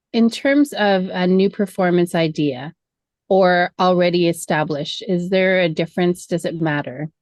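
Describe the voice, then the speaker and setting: A woman with a slightly low voice asks questions over a video call. The sound is dry and close. She speaks haltingly, with fillers and small corrections. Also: no echo.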